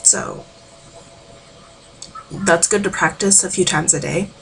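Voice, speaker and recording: Woman, low voice with fry, informal amateur singing tutor, rambling delivery, noisy home recording. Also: slow pace, a bit bright. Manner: rambling, informal, with filler words. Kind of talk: casual vocal warm-up tutorial.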